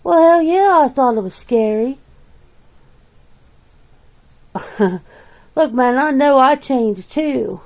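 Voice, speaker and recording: A woman's voice: sits high, lively intonation, takes it slowly, exaggerated voice. A woman voicing a jaded, defensive werewolf in their forties in an ASMR interview roleplay, talking bluntly and casually. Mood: candid, animated. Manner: blunt, casual, swearing, conversational.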